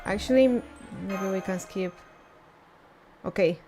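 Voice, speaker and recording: A woman's voice: medium pitch, unhurried, dark tone, soft background music. A woman streaming a casual readalong of a fantasy game, reacting aloud between the passages.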